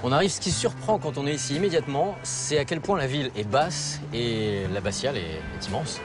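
A man's voice: mid-range voice, a little fast, over music. A man, presenting on location and asking questions in a wry, teasing way. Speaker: travel documentary presenter. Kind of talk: conversational interviewing on location. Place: on location in a stone abbey and outdoors.

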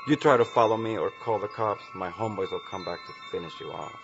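Guy doing a menacing stickup man, lowish voice, muffled radio play sound. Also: quick pace, lightly acted. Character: menacing male street robber, threatening. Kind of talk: audio drama acting. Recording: muffled audio drama, loud music.

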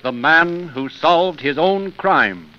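A man giving measured, dramatic scripted narration in a 1930s radio style. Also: on the high side, expressive. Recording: dull 1930s radio recording. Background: music.

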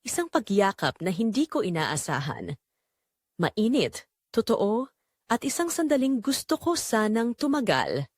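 A woman narrates a scripted first-person drama with measured, emotive delivery. Her medium-pitched voice moves expressively up and down, and the recording is dry and close. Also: sing-song, no echo.

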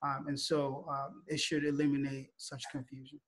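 A man with a halting, matter-of-fact manner, answering questions as a school staff member on a video call. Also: medium pitch, flat delivery, a little hiss or hum.

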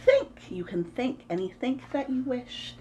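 A woman in her thirties reads to small children in a high, sing-song voice. Her pitch swoops up and down expressively, and her tone stays soft-edged and mellow. She sounds like the host of a preschool story time. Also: sing-song, unhurried, slight background noise. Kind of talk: picture-book read-aloud for preschoolers. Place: small room at home, indoors.

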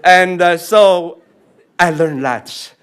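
A man's voice: high-pitched, drawn-out words, clear, resonant tone. Easygoing young man from Taiwan. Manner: self-deprecating, with many fillers and laughter. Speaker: visiting writer on a panel. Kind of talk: humorous casual talk. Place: public library meeting room. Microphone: lectern microphone.

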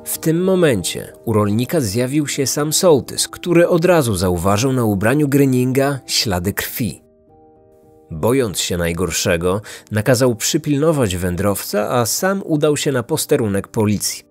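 A man's voice: medium pitch, big pitch swings, measured pace, darkish tone. A man hosting and narrating a true-crime story from a script. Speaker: podcast host, narrator. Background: background music.

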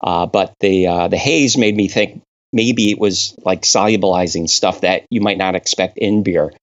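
A man from Connecticut explains brewing science in a guest interview. His low voice has a gravelly, fried edge, and he talks haltingly, stopping and restarting. The sound is dull and lo-fi. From Connecticut, New England. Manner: halting, many uh fillers and restarts. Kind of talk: technical interview.

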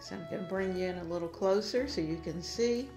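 A woman's voice: lowish voice, a little fast, music in the background. An older woman hosting a home decor vlog, talking casually and encouragingly as she demonstrates.

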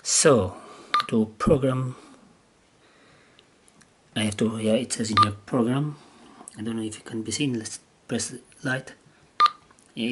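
A man who is not a native English speaker gives a casual, unscripted hands-on demonstration, hesitantly thinking aloud in halting phrases. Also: mid-range voice. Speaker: amateur radio hobbyist demonstrating gear. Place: indoors at a wooden table. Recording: clear background noise on handheld camera audio.

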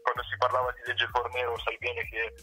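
A man giving casual political commentary, halting and often repeating words as he goes. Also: mid-range voice, monotone, sharp tone, husky. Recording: muffled phone-like line, music underneath.

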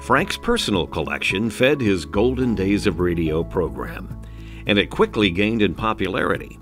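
A man reading scripted documentary narration in a measured, even delivery. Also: sits low. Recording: music bed under voice.

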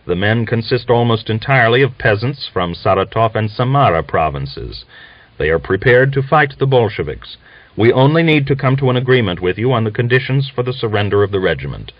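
A man's voice: on the low side, lively intonation, fairly quick, no echo. A man voicing an earnest, anxious, formal army officer in an audiobook novel. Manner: formal, emphatic, persuasive. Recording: dull lo-fi audiobook recording.

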